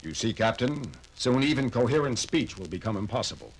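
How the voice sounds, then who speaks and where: A man playing a logical alien science officer who speaks in a measured, formal, precise way in a 1970s audio drama. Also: medium pitch, expressive, heavy background noise, lightly acted. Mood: grave, analytical. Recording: 1970s drama record with music.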